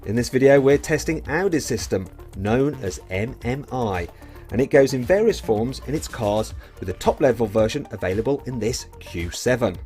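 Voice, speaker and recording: A man reading a scripted voice-over for a car review video in a clear announcer style. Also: mid-range voice, expressive, normal speed, a little mellow, soft background music. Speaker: video presenter.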